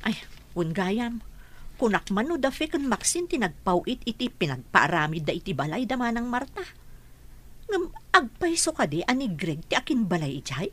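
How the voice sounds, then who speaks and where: A woman's voice: medium pitch, very animated, normal speed, fairly bright tone. A woman acting a nosy, easily amazed village gossip in an Ilocano-accented radio drama, chatty and exclamatory. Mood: curious.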